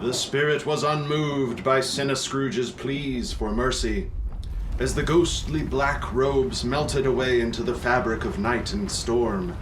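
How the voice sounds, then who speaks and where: A man's voice: medium pitch, fairly even intonation, slow, a little hiss or hum, close to an everyday voice, poor audio. A man playing the solemn narrator of a spooky Christmas radio play, reading scripted dramatic narration. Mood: solemn storytelling.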